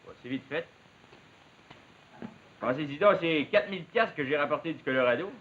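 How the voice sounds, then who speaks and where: A man's voice: on the high side, lively intonation, normal speed, lightly acted. An actor playing a brash, openhanded logging man with a Quebec French accent and folksy, boastful talk in a period television drama. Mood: cheerful, boastful. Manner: boastful, folksy rural speech. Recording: muffled, hissy old 1950s television soundtrack.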